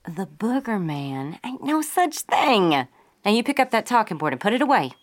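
A woman's voice: medium pitch, sing-song, moderate pace, natural voice. A woman playing a headstrong, folksy 1930s young mother with a Southern US accent in an old-time radio drama. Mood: brisk.

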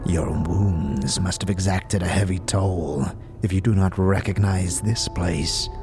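A man playing a frail, weary old scribe in a fantasy audio drama, speaking solemn archaic lines with a breathy, wheezing, whispery rasp. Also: low-pitched. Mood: solemn, reverent. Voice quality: breathy, wheezing, rattling with whispered trailing phrases. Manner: slow, solemn, archaic formal speech. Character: frail, weary, ancient undead-order scribe, solemn and contemptuous. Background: music behind the voice.

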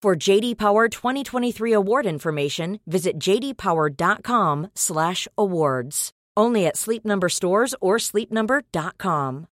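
A woman's voice: mid-range voice, animated, normal speed, few pauses, no echo. Woman reading a dry, close ad. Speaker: ad announcer. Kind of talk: scripted radio ad read.

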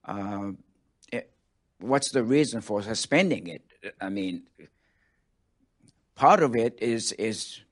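A man from Japan with a Japanese accent, asking spontaneous questions from the floor in a halting, blunt way. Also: fairly low pitch. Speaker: audience member asking questions.

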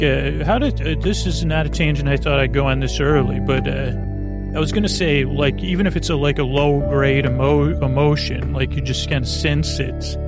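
A man hosting a sleep podcast in a creaky voice, giving a rambling, hesitant bedtime monologue that stammers and breaks off mid-thought. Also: mid-range voice.

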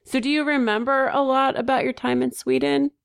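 A woman's voice: high-pitched, little pitch movement, fairly quick, gravelly, clear, resonant tone. A woman co-hosting a design podcast who introduces the guest and asks him questions. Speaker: podcast co-host. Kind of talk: interview hosting.

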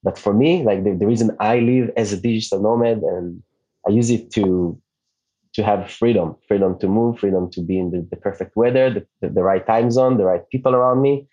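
Mellow husky Israeli-born man. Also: fairly low pitch, brisk, lo-fi sound. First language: Hebrew. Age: 30s. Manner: relaxed, restarts often, light laughs. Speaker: podcast guest, digital nomad. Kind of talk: casual interview chat.

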